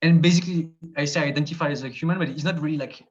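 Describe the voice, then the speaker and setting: A man's voice: on the high side, steady tone, rapid-fire. A man from Switzerland, a travel video creator, talking through his ideas in a casual interview, thoughtful and hesitant, with many fillers and restarts. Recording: dull lo-fi podcast audio.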